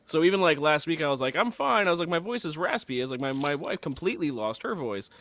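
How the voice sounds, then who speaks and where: Man, podcast co-host, slightly raspy and hoarse, wry casual chat, dry close recording. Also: on the high side, sing-song, fast, a bit bright, no echo. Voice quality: a little raspy. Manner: chatty, self-deprecating, wry.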